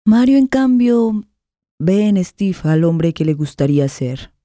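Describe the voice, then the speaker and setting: A woman's voice: fairly low pitch, big pitch swings, measured pace, dry recording, clean studio sound. A woman giving a dramatic scripted narration of a radio play. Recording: soft guitar music under voice.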